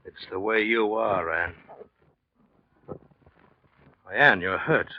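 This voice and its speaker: An actor playing an obsessive, intense painter with a melodramatic manner in a 1940s radio drama. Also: male voice, on the low side, moderate pace, close to an everyday voice. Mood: shocked, distressed. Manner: melodramatic, commanding. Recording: dull vintage 1940s radio recording.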